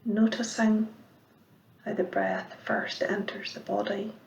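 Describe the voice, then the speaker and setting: A woman giving guided meditation instruction as a mindfulness teacher, speaking in a measured, gentle way with pauses and soft, breathy phrase endings. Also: mid-range voice, steady tone, lo-fi sound, slight background noise. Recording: roomy, echoing sound.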